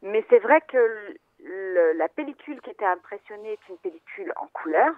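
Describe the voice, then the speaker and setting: A woman's voice: mid-range voice, expressive, moderate pace, dry recording. A woman, a film editor being interviewed, explaining her craft in a hesitant, thoughtful way with many fillers and restarts, heard over a phone line.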